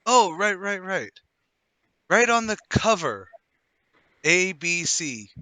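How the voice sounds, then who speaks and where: A curious, talkative chap from a radio play , played by a man, friendly and helpful. The voice is very bright and forceful, swinging up and down with lively interest. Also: sits high, takes it slowly, dry recording, full character voice. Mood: curious, sympathetic. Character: chatty, curious, good-natured male passer-by. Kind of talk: radio play table read.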